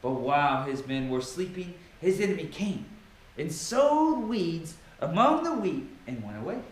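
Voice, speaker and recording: A man giving a dramatic, emphatic reading of scripture with theatrical pauses. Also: a little high, sing-song. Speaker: Bible reader. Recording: very echoey room with faint noise.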